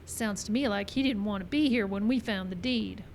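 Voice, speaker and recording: A woman playing a sharp, confident Wild West sleuth in an old-time radio mystery style, performed live. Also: medium pitch, moderate pace, close to an everyday voice. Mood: confident, explaining. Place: live show in a park. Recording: live outdoor stage, some background noise.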